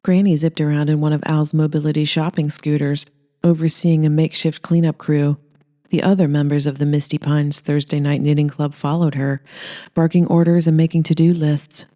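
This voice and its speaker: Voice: mid-range voice, flat delivery, moderate pace, warm tone. A narrator reading comedic horror fiction aloud as a scripted audiobook.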